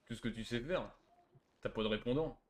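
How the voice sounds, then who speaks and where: Fast-talking teasing young-sounding male gamer. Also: medium pitch, a little mellow, slight background noise. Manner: provocative, teasing, slangy. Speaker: streamer trolling players in an online role-play game. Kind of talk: casual bantering game chat.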